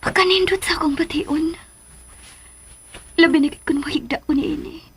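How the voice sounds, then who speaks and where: A woman acting in a radio drama as a tender, tearful woman torn by love, with a breathy, emotional delivery. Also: very high voice, normal speed, noisy background. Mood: wistful, soft. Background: music bed.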